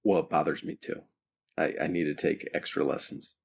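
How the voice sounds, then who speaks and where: A man's voice: a bass voice, steady tone, normal speed, gravelly, husky. A man playing a tabletop roleplaying game, talking casually as he describes his character's actions. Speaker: player in an audio roleplaying show.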